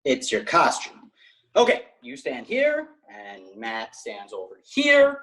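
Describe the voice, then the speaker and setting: A man's voice: medium pitch, huge pitch swings, slow pace, bright, forceful tone, heavily acted, rough recording. A man playing an earnest, bossy teenage amateur film director in a sitcom table read over video call.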